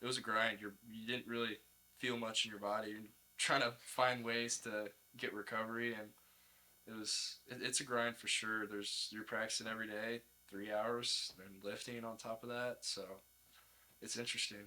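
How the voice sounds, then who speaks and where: A young man with a slightly low, clearly bright voice. He talks like a college athlete in a casual interview. His phrasing is informal and easygoing. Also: flat delivery, moderate pace, low-quality recording. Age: young adult. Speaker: college baseball player, podcast guest.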